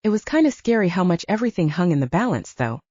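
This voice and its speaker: A woman's voice: on the low side, big pitch swings, fast, a little mellow, no room sound, lo-fi sound. A woman reading a first-person audiobook story as its narrator. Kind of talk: first-person fiction narration with acted dialogue.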